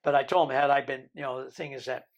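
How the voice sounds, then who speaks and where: Gravelly older man with mixed accent. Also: mid-range voice, fairly even intonation, fast, highs cut off. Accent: American-tinged Birmingham English. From Isle of Man. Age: 60s. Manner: rambling, joking, self-deprecating. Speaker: interview guest. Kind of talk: storytelling interview.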